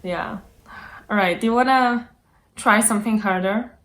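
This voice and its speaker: A woman's voice: mid-range voice, normal speed, fairly bright tone. A woman hosting a casual interview over a video call, chatty and filling her talk with yeah and like. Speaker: host.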